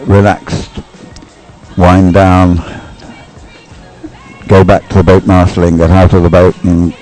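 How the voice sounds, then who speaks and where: Low, mellow male sports commentator. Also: little pitch movement, takes it slowly, faint music behind, poor audio. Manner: rambling, hesitant, informal explaining. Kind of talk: live race commentary.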